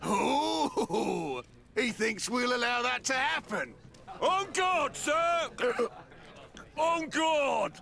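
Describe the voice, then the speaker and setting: High-pitched belligerent drunken brawler. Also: male voice, lively intonation, drawn-out words, creaky, sharp tone, heavily acted. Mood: mocking, aggressive.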